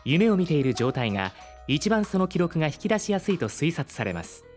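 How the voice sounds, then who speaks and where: A man playing a polite, caring artificial intelligence with formal, measured speech in a Japanese audio drama. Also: fairly high pitch, sing-song, soft background music. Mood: clinical, polite.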